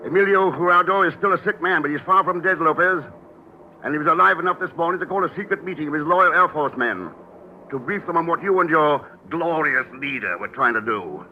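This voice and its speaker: An actor playing a calm, confident male secret agent, speaking in a measured way, 1940s radio-drama style. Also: on the high side, natural voice. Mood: triumphant. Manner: measured, unruffled. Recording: tinny old radio recording with faint hiss.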